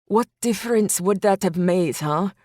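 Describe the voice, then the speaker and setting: A woman's voice: medium pitch, a little slow, no echo, studio quality, lightly acted. A woman voicing a heartbroken, torn young man in an audiobook, his speech anguished and pleading. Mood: bitter. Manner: anguished pleading, breaking between hush and outburst.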